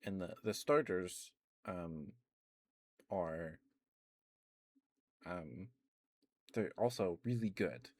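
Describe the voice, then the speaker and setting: Slow, deep-voiced man rambling softly. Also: dry recording, studio quality. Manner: meandering, many ums and restarts; enthusiastic gushing at times. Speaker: ASMR streamer. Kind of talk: soft-spoken ASMR rambling.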